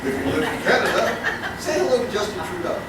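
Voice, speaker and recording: A man's voice: high voice, sing-song, a little fast. A man doing scripted comedy banter on stage in a showy mock-announcer style. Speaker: comedic guest performer in a live stage show. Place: live stage in a theater, before an audience. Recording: very echoey hall, distant mic, noisy.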